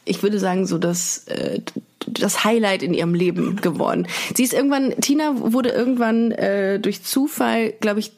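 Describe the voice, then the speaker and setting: A woman's voice: medium pitch, normal speed, a little mellow. A woman hosts a casual, comedic podcast with a mid-range voice. She talks in a tongue-in-cheek way, as if chatting with a friend. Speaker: podcast host.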